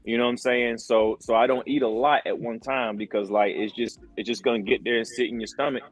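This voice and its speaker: A man's voice: medium pitch, steady tone, fairly quick, rough recording. A man, talking in a mid-range voice. He tells stories loosely, repeating words and dropping fillers as a guest on a casual podcast. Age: 40s. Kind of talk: casual chat.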